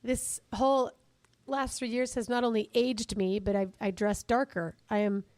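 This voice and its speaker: A middle-aged woman with a husky, raspy voice hosts a casual podcast. She chats loosely with a co-host, poking fun at herself. The sound is dry and close. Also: fairly high pitch, little pitch movement, moderate pace, darkish tone, no room sound. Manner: chatty, self-deprecating, laughs often. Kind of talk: casual chat.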